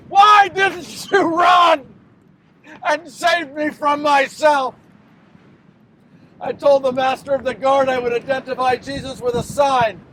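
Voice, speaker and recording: Guy doing a guilt ridden biblical betrayer, really high pitched for a man, dramatic stage monologue, impassioned with big pauses. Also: slight background noise, rough recording. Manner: impassioned, dramatic pauses, swings from hushed to shouting. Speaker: actor performing a dramatic monologue. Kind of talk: theatrical first-person biblical monologue.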